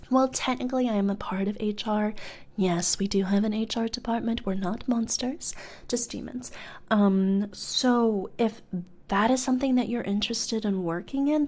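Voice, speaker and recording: Girl doing a demon hr lady, soft asmr half whisper, blasé n polite, kinda dry corporate and teasing, bit hesitant. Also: medium pitch, natural voice. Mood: polite, dryly teasing. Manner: hesitant, casual, dryly bureaucratic. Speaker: ASMR role-play performer. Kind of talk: soft-spoken ASMR role-play, partly whispered.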